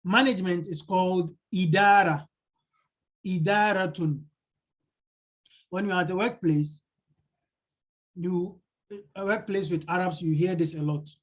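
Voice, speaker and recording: A man's voice: high-pitched, steady tone, measured pace, pauses often. A male teacher explaining a textbook lesson, translating it line by line and repeating key phrases. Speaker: Arabic teacher.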